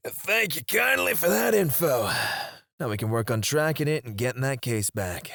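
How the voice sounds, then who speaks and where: A man voicing a teasing, menacing male captor who interrogates a prisoner in an ASMR roleplay, in a slow, intimate voice with soft chuckles and whispers on a close mic. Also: mid-range voice, big pitch swings, vocal fry, dry recording.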